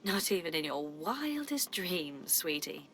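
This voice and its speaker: A woman's voice: lowish voice, big pitch swings, a little slow, a bit bright, a little hiss or hum. An actress playing a sardonic, flirtatious, haughty woman in a video game, arch and teasing. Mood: mocking disdain.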